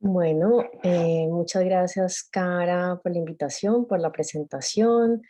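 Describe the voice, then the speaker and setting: Low-voiced Colombian woman presenting. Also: slow, mellow. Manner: explanatory, with frequent filler sounds. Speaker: webinar presenter. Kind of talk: webinar presentation. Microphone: video call microphone.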